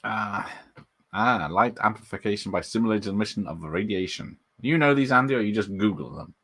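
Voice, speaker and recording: Casual watch-party host: a man with a slightly low voice who rambles through film trivia and talks back to his chat. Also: fairly quick, fairly bright tone, husky. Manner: rambling, chatty, laughs often, reads out chat comments. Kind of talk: casual live movie commentary.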